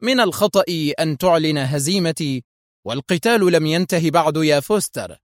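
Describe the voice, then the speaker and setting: A man voicing a calm, mocking young secret agent in an audiobook. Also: on the high side, fairly quick, dry recording, clean studio sound, pitched above the performer's own voice, lightly acted. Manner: calm, sardonic.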